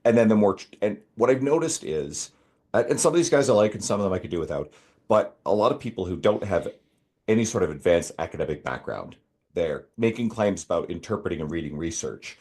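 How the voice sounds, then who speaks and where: A man hosting a podcast, asking his guest measured questions. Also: deep voice, animated, a little mellow. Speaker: podcast host. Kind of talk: interview questions.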